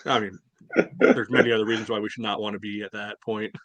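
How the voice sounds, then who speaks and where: A man's voice: fairly low pitch, little pitch movement, fast, fairly bright tone, no echo. A man co-hosting a casual sports podcast, wry and joking with his friends. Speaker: podcast co-host.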